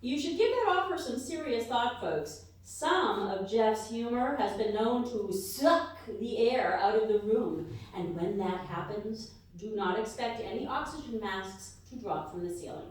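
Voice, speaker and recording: A woman's voice: a little high, expressive, a little slow, hardly any pauses. A woman performing scripted comedy banter to a live audience, announcing in a mock-official way. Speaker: comedy radio show performer and co-host. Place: live stage in a hall. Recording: very echoey hall, distant mic, faint noise.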